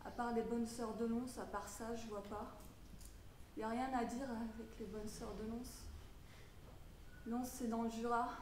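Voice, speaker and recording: A woman acting a theatrical monologue as a troubled young mother, wounded and defiant, swinging between muttered confiding and shouted outrage. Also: a little high, flat delivery, unhurried, pauses often, far from the mic, lightly acted. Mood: bitter, subdued. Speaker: drama student performing a monologue. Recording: roomy echo with background noise.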